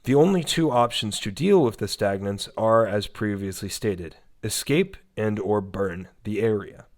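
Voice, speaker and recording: A man's voice: on the low side, unhurried, close to an everyday voice. Man reading a cold, clinical warning tape. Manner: formal, clinical.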